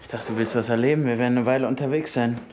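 A medium-pitched male voice acted by a man plays a suspicious, controlling figure in a radio drama. His delivery is terse, probing and menacing, over clear outdoor ambience with animal sounds. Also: fairly even intonation, very fast, background noise, natural voice. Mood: taunting, probing. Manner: probing, taunting, terse questioning. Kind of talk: radio play acting.

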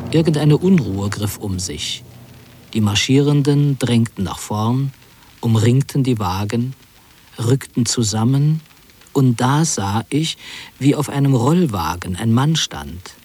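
A middle-aged man narrating an autobiographical radio piece in a measured, precise literary manner. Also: medium pitch, a little mellow, breathy edge.

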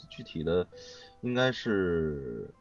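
A man answering interview questions casually as a voice actor guest, haltingly and with repeats as he thinks. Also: low voice, sing-song. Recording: dull online call audio, music behind. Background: music.

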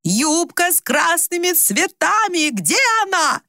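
Lady doing an old mom in an audiobook, worked up n confused, bossy n accusing, high voice swinging all over. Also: very animated, measured pace, fairly bright tone, no room sound, studio quality. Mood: angry, demanding. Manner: insistent, accusing, talks without stopping. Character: agitated, confused old mother, imperious and suspicious. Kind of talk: dramatised audiobook reading.

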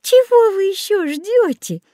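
A woman voicing a sugary old witch in a storybook, luring children with cooing, drawn-out, sing-song talk. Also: very high voice, animated, dark tone, dry recording, studio quality, pitched above the performer's own voice. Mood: gently chiding.

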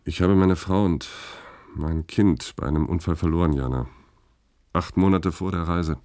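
An actor playing a haunted, grieving spaceship crewman in a radio play, speaking in a subdued, halting way. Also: sits low, dark tone, no room sound, studio quality, natural voice. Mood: sorrowful, subdued. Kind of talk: intimate radio play dialogue.

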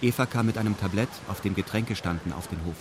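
A man's voice: on the low side, fast talker, background noise. A man narrating a radio drama in a formal, matter-of-fact, detached way. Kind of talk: scripted radio drama narration in first person.

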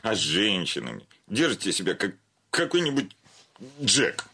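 A man's voice: on the low side, wildly swooping pitch, bright, forceful tone, breathy edge, full character voice. An actor playing a pompous, effusive, self-satisfied bank chairman in a radio play, with florid, theatrical delivery. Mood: self-important. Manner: gushing, florid, self-important, theatrical drawn-out words.

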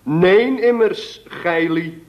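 Young activist, grandiose archaic oratory, slightly high voice, muffled old radio recording. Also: male voice, very slow. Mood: rousing. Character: zealous young male activist, pompous orator. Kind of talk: 1960s radio comedy acting. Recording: dull lo-fi archive radio recording.

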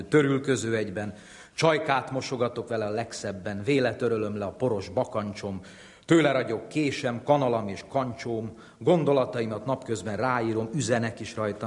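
Low-voiced man reciting in a reverberant hall. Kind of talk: recital of comic rhyming verse. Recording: long reverberant hall echo.

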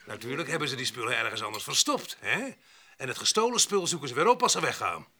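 An actor playing a hot-headed, quarrelsome farm labourer in a radio drama, blustering and sarcastic, with a sharp voice. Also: male voice, mid-range voice, big pitch swings, fast, exaggerated voice. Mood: accusing. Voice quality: sharp, forceful.

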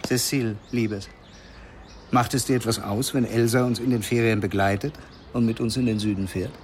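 An actor playing a charming, playful middle-aged father in a radio play, with a gravelly voice. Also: fairly low pitch, steady tone, moderate pace, background noise, close to an everyday voice. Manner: suave, playful, teasing.

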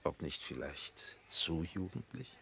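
An actor playing a solemn, probing old village pastor in a radio play, with a gravelly, husky voice and a measured, formal, unctuous manner. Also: male voice, low voice, big pitch swings, sharp tone, slight background noise, heavily acted. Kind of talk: German radio play acting.

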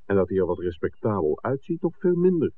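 A man's voice: lowish voice, sing-song, brisk. An actor playing a suspicious, stubborn man in a 1960s radio drama, probing and plain-spoken. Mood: doubtful, suspicious. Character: suspicious, stubborn man scouting a film location. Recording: tinny, muffled 1960s radio recording.

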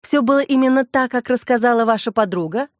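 A composed female investigator in a crime audiobook, read by a woman narrator. She speaks in a rather high voice, polite but pointed and sure of herself. The sound is dry. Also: quick pace, darkish tone, no room sound, close to an everyday voice. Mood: probing.

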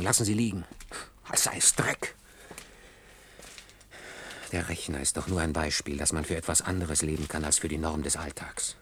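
An actor playing an idealistic, nonconformist programmer in a German science-fiction radio drama, speaking earnestly and emphatically. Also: male voice, low voice, a little hiss or hum, lightly acted. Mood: scornful, intense.

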